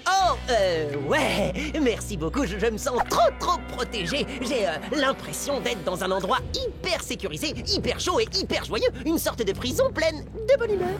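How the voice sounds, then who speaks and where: A young boy creature in a cartoon, nervous and easily exasperated. His high, lively voice stammers and turns wry, over loud background music. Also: normal speed, few pauses. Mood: uneasy, wry. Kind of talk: animated cartoon dub.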